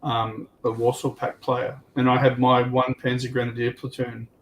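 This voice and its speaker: Man chatting informally, mid-pitched voice. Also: completely flat delivery, moderate pace, a bit bright, a little hiss or hum, rough recording. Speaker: livestream panellist. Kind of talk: casual chat.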